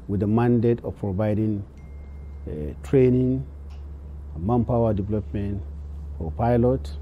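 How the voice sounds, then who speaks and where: A man in charge of a college speaks off the cuff in an interview with a Nigerian accent. His voice is low and very muted in tone, with emphatic, halting phrases over faint noise. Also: dark tone. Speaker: college head. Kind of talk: unscripted interview remarks.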